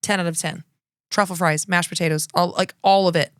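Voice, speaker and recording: A woman's voice: on the low side, fairly even intonation, fairly quick, clear, resonant tone, no echo. Chatty woman in a dry studio. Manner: chatty, enthusiastic, trails off and restarts. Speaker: podcast co-host. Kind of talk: casual chat.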